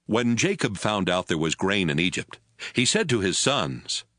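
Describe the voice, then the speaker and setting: A man's voice: sits low, sing-song, moderate pace, sharp tone, no room sound, clean studio sound. A man giving scripted narration as the storyteller of a dramatized audio Bible. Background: music.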